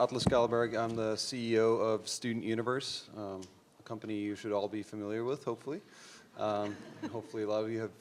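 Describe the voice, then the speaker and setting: A man's voice: on the low side, a little hiss or hum. A man in his thirties from Norway, a startup CEO introducing himself on a conference panel, upbeat and full of ums and uhs. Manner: upbeat, hesitant with many fillers. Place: conference room.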